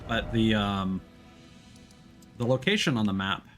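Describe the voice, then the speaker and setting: A man's voice: on the low side, expressive, measured pace, faint music behind. A man giving casual, explanatory live commentary as he streams a video game, in a conversational way. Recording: loud game noise behind voice. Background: video game sound.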